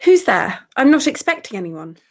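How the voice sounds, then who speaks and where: An actress playing a gentle, sensitive young Victorian heiress in radio melodrama, formal and earnest. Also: female voice, fairly high pitch, big pitch swings, normal speed, faint music behind. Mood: polite. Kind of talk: old-style radio theatre melodrama acting.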